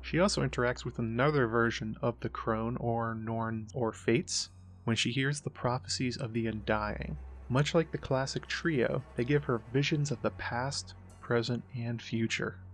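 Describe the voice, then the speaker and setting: A man narrating a scripted analytical essay as the host, in a measured explanatory way. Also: mid-range voice, fairly even intonation. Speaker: host of a book and mythology analysis show.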